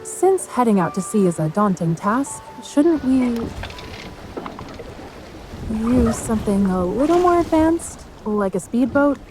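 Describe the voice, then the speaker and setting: A young woman voice-acting a capable, skeptical bodyguard heroine in a video game cutscene, practical and quick to retort. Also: a little high, sing-song, low-quality recording. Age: young adult. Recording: music behind the voice.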